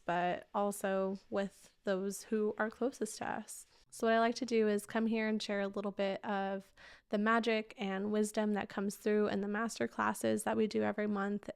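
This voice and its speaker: A young woman hosting a solo podcast in a chatty, casual way, her voice slightly raspy as she gets over losing it. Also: medium pitch, no room sound. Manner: warm, chatty, addresses listeners as "babes". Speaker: podcast host.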